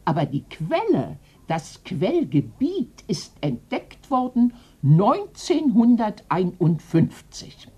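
An actress playing an eccentric, proud old lady in a radio mystery, husky and theatrical, drawing out her words. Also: mid-range voice, sing-song, slow pace, hardly any pauses, dark tone, heavily acted. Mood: nostalgic, grand. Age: older. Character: eccentric old lady, dreamy, proud former jungle traveller. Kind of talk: 1990s German radio mystery play.

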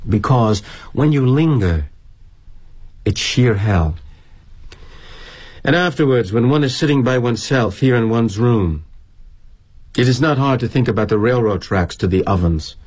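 A middle-aged corporate executive in a theatrical monologue, self-justifying and darkly wry. His voice is rough-edged and husky. The pitch moves in lively swoops through arch, mannered phrases. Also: unhurried, long pauses. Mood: rueful, confiding. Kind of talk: dramatic monologue, theatrical storytelling.

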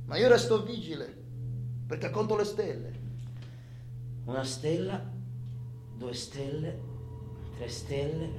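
Male convict, restless, foul-mouthed, halting colloquial monologue for radio theatre; slightly high voice, roomy sound. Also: animated, over music. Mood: brooding, haunted. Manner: colloquial, halting, repeats himself, talks as if to a listener. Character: imprisoned adult man, restless, wistful, obsessive, foul-mouthed.

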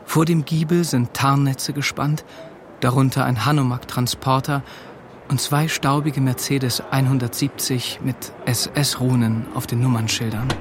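An actor playing a shy, earnest seventeen-year-old farm milker in a German radio drama. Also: male voice, mid-range voice, steady tone, measured pace, background noise, lightly acted. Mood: calm narration. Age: young adult. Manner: hesitant, modest, earnest.

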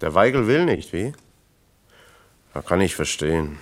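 Radio play role: East German factory comrade, deep register, earnest, plain-spoken, persistent. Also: male voice, sing-song, unhurried. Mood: questioning, insistent.

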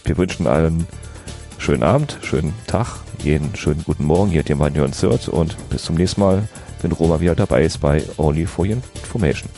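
A man's voice: a bass voice, brisk, creaky, dark tone, faint music behind. A man hosting a podcast in casual chat, agreeable, with short affirmations. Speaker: podcast host.

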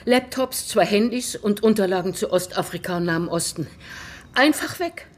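A woman's voice: medium pitch, normal speed, lightly acted. An actress playing an impulsive, talkative female scholar of Islam who lectures emphatically and digresses, in a radio drama. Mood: agitated. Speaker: academic, lecturer.

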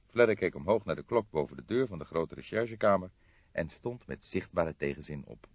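Casting: male audiobook narrator, fairly low and very expressive, telling a crime novel dramatically. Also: fairly quick, slightly rough, no room sound, muffled recording.

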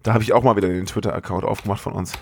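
A man's voice: lowish voice, fairly even intonation, racing, vocal fry, a little mellow. A man chatting casually as a podcast co-host, enthusiastic, full of slang and swearing. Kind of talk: casual chat.

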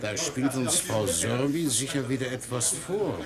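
An actor in a radio play playing a jovial, urbane gentleman at a dinner party. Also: medium pitch, expressive, measured pace, loud music behind the voice. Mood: sly. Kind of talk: German radio play acting. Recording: loud party chatter behind.

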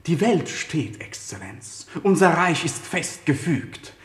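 An actor playing a complacent, reassuring foreign minister in a satirical German radio play, assured and formal. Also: male voice, fairly high pitch, very animated, slow pace, reverberant. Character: smooth-talking, complacent male foreign minister, soothing his ruler.

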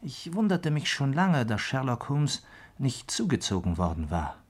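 Gentleman sidekick and storyteller for radio play: medium pitch, rough-edged husky tone, courteous, attentive. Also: very animated, moderate pace. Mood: reflective narration. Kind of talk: German radio play acting, narration and dialogue.

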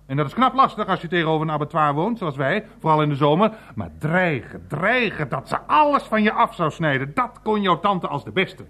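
A bluff, earthy old uncle in a radio play. His voice sits high for a man and swings widely in pitch. He blusters, mocks and stretches his words for theatrical effect. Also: a bit bright. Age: older. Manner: mocking, blustering, drawn-out emphatic phrasing.

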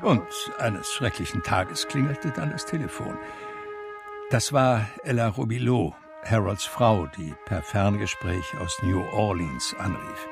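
Low, creaky reflective male narrator. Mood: sober, reflective. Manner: measured, reflective storytelling. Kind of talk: literary first-person radio drama narration. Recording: music under the voice. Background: music.

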